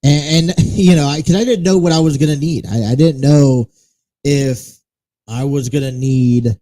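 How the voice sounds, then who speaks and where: A man's voice: a little high, steady tone, moderate pace, mellow. A man hosting a casual solo talk show, chatting in a rambling, self-correcting way. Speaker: host. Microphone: studio mic with monocaster setup.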